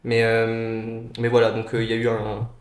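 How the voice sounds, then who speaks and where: A man in his twenties, a guest in a casual round-table chat, relaxed and joking, with many fillers. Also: mid-range voice, normal speed. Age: 20s. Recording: echoey room.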